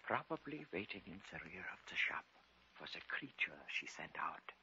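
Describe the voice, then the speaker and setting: An actor playing a grave, commanding old occult expert, theatrical and solemn, in a 1940s radio horror drama. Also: male voice, low voice, big pitch swings, moderate pace, gravelly, sharp tone, slight background noise, exaggerated voice. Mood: hushed, tense. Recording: muffled old radio recording with music.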